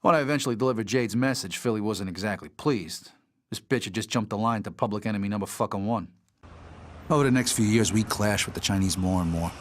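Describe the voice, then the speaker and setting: Guy acting a tough streetwise mob vet, low voice, talks quick, sweary, crime game style. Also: natural voice. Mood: reflective, gritty. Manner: profane, streetwise. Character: hardened mobster Vietnam veteran recounting his past.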